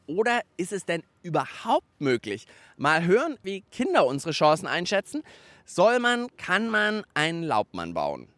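A man hosting a children's radio show, with a high and very lively voice that jumps around a lot. He chats playfully while tinkering outdoors among autumn leaves, and the recording sounds dry, without any reverb. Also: unhurried. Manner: playful, animated, explains what he is doing.

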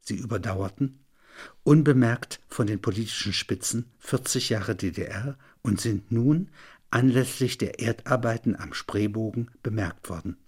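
A man reading literary narration with measured, deliberate phrasing. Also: lowish voice, husky.

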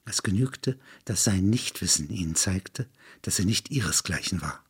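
A man reading scripted literary narration in a husky voice. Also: sits low, animated, moderate pace.

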